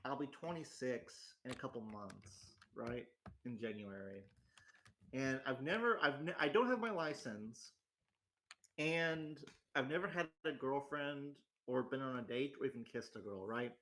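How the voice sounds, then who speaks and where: A man's voice: medium pitch, expressive, measured pace, fairly bright tone. Rambling self-deprecating young man. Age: 20s. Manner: rambling, self-deprecating, many restarts and fillers. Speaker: host. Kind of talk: casual solo chat. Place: home in a rural area.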